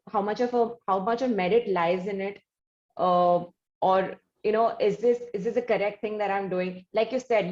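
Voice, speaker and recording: A woman with an Indian accent conducts an interview in a medium-pitched voice. She talks conversationally and at length, repeating phrases as she works toward each question. The sound is echoey and a little dull. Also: darkish tone. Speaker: interviewer, host.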